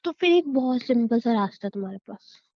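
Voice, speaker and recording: A woman's voice: a little high, animated, brisk, dry recording, dull audio. A woman acting as a defiant, demanding young wife in a conversational audio drama, arguing in a stubborn, taunting way. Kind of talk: acted Hindi relationship audio drama, conversational.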